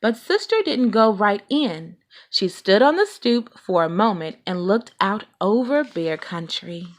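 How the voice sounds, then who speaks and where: A woman narrates a children's story with lively, swooping intonation. She takes her time, delivering it slowly and deliberately in a medium-pitched voice. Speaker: read-aloud reader.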